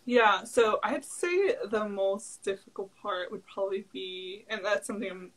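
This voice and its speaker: A woman's voice: mid-range voice, moderate pace, fairly bright tone, low-quality recording. A young woman whose first language is Spanish, a guest entrepreneur chatting casually over a video call, full of "like" fillers and easy laughs. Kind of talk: casual interview chat.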